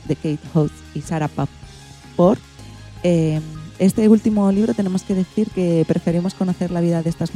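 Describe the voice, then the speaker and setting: A woman's voice: low-pitched, mellow. A woman with an accent from Spain, presenting an informal radio show and explaining things to a family audience. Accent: Spanish, from Spain.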